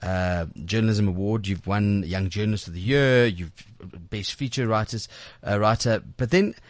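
A man's voice: lowish voice, moderate pace, no room sound. A man hosting a casual radio interview, talking informally in stop-start phrases. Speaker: radio host. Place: radio studio.

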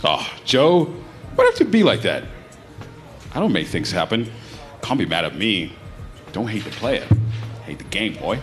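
A man's voice: on the low side, huge pitch swings, moderate pace, pauses often, soft background music. A man playing a brash, boastful radio DJ in a live radio drama, with a swaggering, playful manner. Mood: playful, put out. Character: brash, boastful young male mid-morning radio DJ.

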